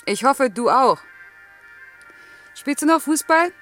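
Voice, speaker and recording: Curt, mocking woman with a lively high voice. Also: slow, soft background music, heavily acted. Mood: dismissive, wary. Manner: dismissive, dry, sarcastic. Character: curt, mocking East German woman brushing off an old flame. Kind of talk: German radio drama acting.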